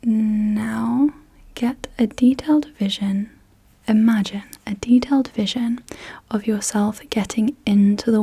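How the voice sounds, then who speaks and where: A young woman whispering softly and unvoiced as an ASMR host, gently asking slow questions in a guided visualisation, close to the mic. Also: medium pitch, breathy edge. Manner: soft unvoiced whisper throughout. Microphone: close sensitive mic.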